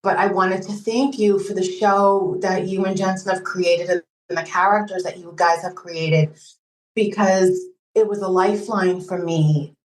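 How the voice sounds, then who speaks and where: A woman's voice: lowish voice, fairly even intonation, a little slow, slight background noise. Woman telling personal stories, echoey room. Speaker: podcast guest, fan sharing experiences. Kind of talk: casual personal storytelling.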